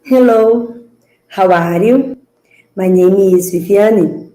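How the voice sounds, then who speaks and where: Brazilian woman, forties, mellow and slow, roomy recording. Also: mid-range voice. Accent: Brazilian Portuguese. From Minas Gerais, Brazil. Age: 40s. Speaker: language student.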